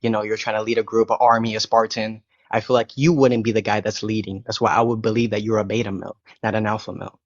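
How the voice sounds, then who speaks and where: A young man speaking English as a second language, with Spanish as his first, talks bluntly and rambles through restarts in a live-stream debate. His voice sits fairly low. The call audio is dry and dull, with a lo-fi muffled band. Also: little pitch movement, quick pace, hardly any pauses, no echo. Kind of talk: casual argumentative chat.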